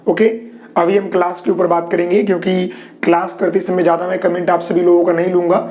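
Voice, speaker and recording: A man's voice: high voice, a little mellow. A man from Bihar with a Bihari Hindi accent and a sore throat, teaching a live online class, with many fillers and reassuring asides to viewers. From Bihar, India. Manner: repetitive fillers, frequent "ji" and "okay"; reassuring, tells viewers not to worry.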